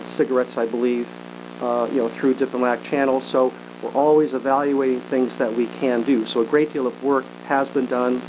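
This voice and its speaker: A man speaking unscripted as a government official at a briefing, measured and halting, with frequent filled pauses. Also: mid-range voice. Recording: dull broadcast audio with clear hum.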